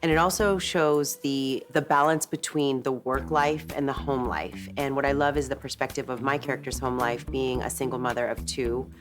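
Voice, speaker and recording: A woman's voice: extremely low pitch, moderate pace, hardly any pauses, over music. A woman, the lead actress, speaking earnestly and emphatically in an interview. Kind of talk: featurette interview.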